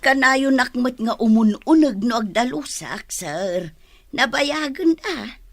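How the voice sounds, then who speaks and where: A woman's voice: on the high side, animated, slow, dry recording, studio quality, full character voice. A woman playing a deferential, gossipy household maid in a radio drama. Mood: respectful, curious. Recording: music behind the voice.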